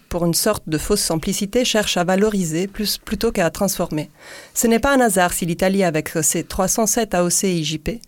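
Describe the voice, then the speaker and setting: A woman with an Italian accent, hosting and reading a reflective segment in a measured way, with occasional repeated syllables. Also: fairly low pitch, darkish tone, no echo. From Italy. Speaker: podcast host. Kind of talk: reflective scripted commentary.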